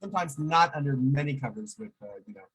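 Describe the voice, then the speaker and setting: Host guy with a really breathy husky voice, fumbles his questions a bit. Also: fairly high pitch, animated, quick pace, a bit bright. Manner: hesitant, restarts his questions. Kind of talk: casual interview questions.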